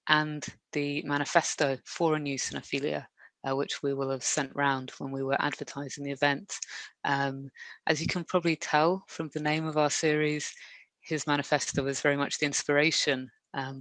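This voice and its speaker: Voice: mid-range voice, a bit bright, dry recording. An academic chairing an online workshop, speaking politely and steadily with many fillers over a video call. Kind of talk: hosting an online academic event.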